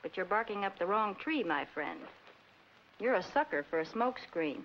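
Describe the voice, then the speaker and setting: An actress playing a shrewd, confident young woman in a 1940s comedy film, brisk and coy with slangy lines. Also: mid-range voice, lively intonation, lightly acted. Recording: muffled, noisy old film soundtrack.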